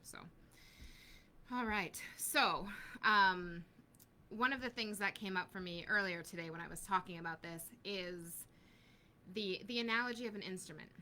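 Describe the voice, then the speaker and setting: Bright-voiced chatty woman host. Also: mid-range voice, moderate pace, a little hiss or hum. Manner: chatty, laughs often, plays out little skits. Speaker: casting team host. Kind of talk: casual chat.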